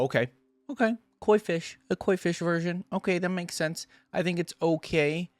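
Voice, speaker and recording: A man's voice: mid-range voice, dry recording. A man hosting a reaction video, giving his opinions in casual, conversational commentary.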